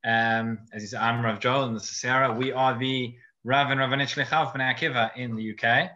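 A man's voice: mid-range voice, steady tone, brisk, sharp tone. A man with a South African accent, a rabbi guest speaking earnestly and repeating words for emphasis. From Johannesburg, South Africa. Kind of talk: casual podcast chat. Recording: dull lo-fi audio.

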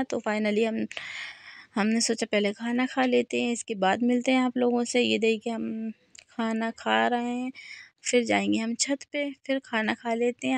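A woman's voice: on the high side, gravelly, no echo. A woman, narrating a family vlog in a casual way. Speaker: vlogger.

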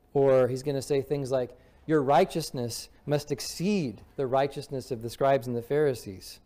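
Guy in his 40s giving a sermon at a pulpit, mid pitch, relaxed dark tone, lays points out in lists. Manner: earnest, explanatory preaching with emphatic lists and fillers. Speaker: visiting minister. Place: church sanctuary, at a wooden pulpit.

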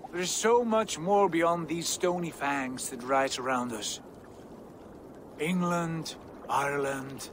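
An ambitious Viking warrior speaks in grand, deliberate phrases for a video game. The voice sits a little high and takes its time. Faint water ambience runs behind it. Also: male voice, a bit bright, a little hiss or hum. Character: proud, ambitious Viking warrior prince, hungry for glory. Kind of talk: dramatic video game acting.

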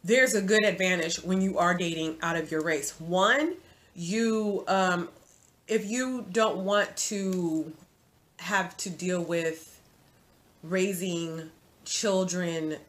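A woman in her forties giving casual dating advice to viewers from her home, chatty and animated, calling the viewer sis. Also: fairly low pitch. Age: 40s. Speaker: vlogger. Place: home room, indoors.

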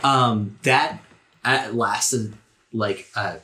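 A man's voice: lowish voice, very slow, pushed and bright. A man speaking as game master, narrating a tabletop game and chatting casually with players.

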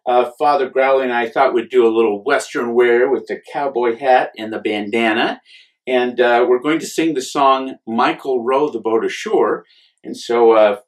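A middle-aged American man reading a picture book aloud and chatting to children as a storytime host, with an unhurried manner. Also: mid-range voice. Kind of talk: picture book read-aloud and casual chat to young audience.

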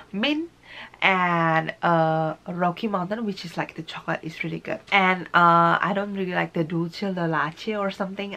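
A woman's voice: lowish voice, fairly bright tone. A woman, giving a casual review to camera in a small room at home. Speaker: vlogger.

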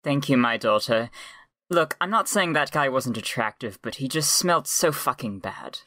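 An imperious bedridden mother played by a comic performer in an improvised fan dub, dismissive of everyone. The voice is close and dry, at a medium pitch. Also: lively intonation, moderate pace, bright, forceful tone, dry recording.

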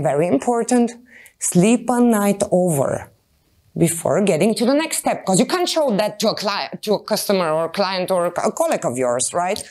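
A woman in her thirties with a Bulgarian accent, giving an animated conference talk to an audience and often checking in with "right?". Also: medium pitch. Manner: animated, addresses audience as "guys", frequent "right?" tags. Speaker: conference speaker. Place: meetup room with projector and audience. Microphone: handheld mic.

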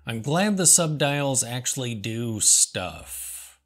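A man hosting a comedy show, reading product reviews aloud and answering them with deadpan sarcasm. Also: medium pitch, faint music behind. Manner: dry, sarcastic, slow and deliberate. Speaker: host.

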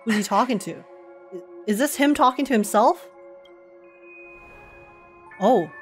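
A woman's voice: fairly high pitch, unhurried. Slangy young woman streamer reacting, music behind. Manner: expressive, slangy, joking. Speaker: streamer reacting to a game story. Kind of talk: casual reaction commentary.

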